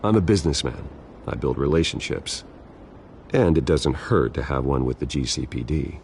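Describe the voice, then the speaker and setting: A man's voice: a bass voice, normal speed, darkish tone, slight background noise. An American man voicing a brooding, determined wealthy businessman in a video game, speaking in terse, grave lines. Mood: cool, guarded. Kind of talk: video game cutscene dialogue.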